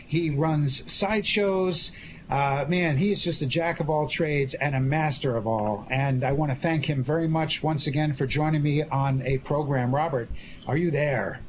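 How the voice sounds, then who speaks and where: A man's voice: on the high side, dull audio. A man hosting a live radio show, talking effusively and conversationally with guests over a call. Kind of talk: live interview with cast after a show. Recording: faintly noisy live internet call.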